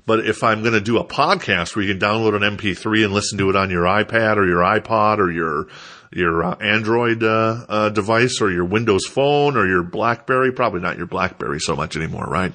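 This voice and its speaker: A man's voice: fairly low pitch, a little fast, bright, forceful tone. A stuffed-up man, rambling casually and self-deprecatingly as he hosts a solo podcast. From Minnesota, United States. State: stuffed up, congested. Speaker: podcast host.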